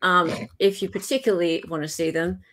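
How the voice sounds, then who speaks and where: A woman's voice: on the low side, fairly even intonation, a little fast, over a noisy crowd. A woman with an Australian accent hosting a casual panel livestream and commenting as she goes. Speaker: livestream host.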